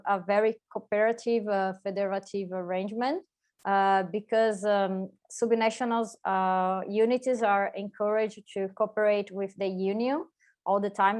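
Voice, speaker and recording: A Brazilian woman with a strong accent gives an academic talk over a video call. She speaks at a moderate pitch and often stops with "uh" to find the right word. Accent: Brazilian Portuguese. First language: Portuguese. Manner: hesitant, many filler "uh"s and restarts. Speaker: postdoc researcher presenting. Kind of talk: academic conference presentation.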